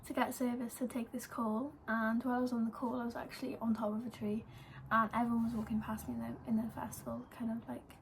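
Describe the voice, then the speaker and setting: A young Welsh woman of eighteen rambles through a personal story in an easy vlog style, sprinkling in "like" and "um". Her voice sits high and stays fairly even in pitch. A faint hiss runs under the recording. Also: fast, creaky, low-quality recording. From Wales. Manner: chatty, lots of "like" and "um". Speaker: vlogger. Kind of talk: casual storytelling to camera.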